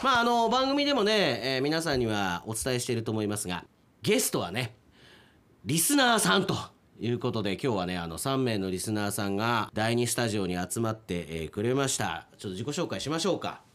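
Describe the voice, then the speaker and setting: A radio host with a mid-range voice runs a casual chat with guests. The delivery is extremely animated, with big pitch swings. The host teases and laughs easily. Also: a little fast. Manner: teasing, laughs often. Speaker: host and director of a radio drama project. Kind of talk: casual post-recording talk with guests, interviewing.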